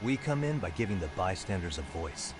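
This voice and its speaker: A man playing a level-headed private detective in a video game, speaking in a measured, assured way. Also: low voice, big pitch swings, over music. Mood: earnest, determined. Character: level-headed male private detective plotting against bullies. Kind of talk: video game dub acting.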